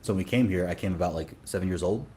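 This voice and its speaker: A man's voice: low-pitched, racing, darkish tone, slight background noise. A man from Sudan, a dating coach, talking casually in an interview with many fillers and restarts.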